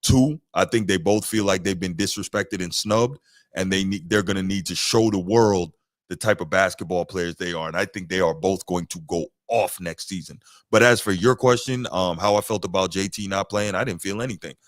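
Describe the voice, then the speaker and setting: Low-voiced man in a dry room. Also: no room sound. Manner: measured, talks in long points. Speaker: podcast co-host. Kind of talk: casual sports chat.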